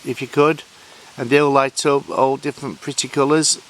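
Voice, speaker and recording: A man with a Yorkshire accent from Sheffield, speaking at an ordinary middle pitch. He pauses and hesitates often as he calls out to unseen spirits. The outdoor recording carries a faint hiss. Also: level tone, fairly bright tone, low-quality recording. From Sheffield, England. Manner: hesitant, halting, many fillers. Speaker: paranormal investigator. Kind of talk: calling out to spirits on a ghost hunt. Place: outdoors at a woodland waterfall site.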